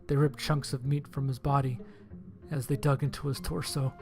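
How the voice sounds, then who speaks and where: A man's voice: medium pitch, flat delivery, moderate pace, darkish tone. Male horror narrator, mid-range voice, dramatic first-person storytelling, music underneath.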